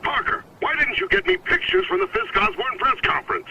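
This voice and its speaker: An actor playing an irascible older newspaper boss, scolding and blustering through a phone filter in a video game. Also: male voice, very high voice, sing-song, brisk, creaky, exaggerated voice. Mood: irate. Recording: muffled phone line, street noise.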